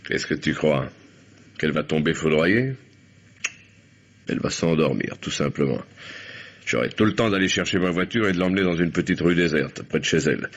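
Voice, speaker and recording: An actor playing a cold, menacing crime boss in a vintage French radio drama, cynical and casually commanding. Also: male voice, rumbling low, normal speed, pauses often, husky, heavily acted. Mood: coolly calculating. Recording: dull lo-fi old radio recording.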